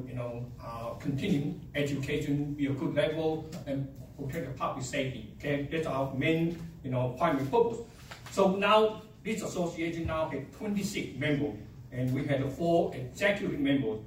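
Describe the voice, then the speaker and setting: A man's voice: a little high, a little hiss or hum. Chinese-accented man presenting in an echoey room. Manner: halting, many fillers, upbeat. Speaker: association presenter. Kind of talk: public meeting presentation. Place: meeting room. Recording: echoey room, mic at a distance.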